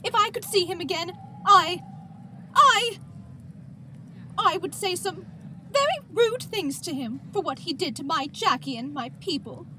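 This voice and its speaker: A woman's voice: extremely high pitch, animated, measured pace, pauses often, pushed and bright, a little hiss or hum, full character voice, poor audio. A woman playing a sweet, dramatic, doting quilt doll in an audio drama, gushing and fussing theatrically. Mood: indignant. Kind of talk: amateur audio drama acting.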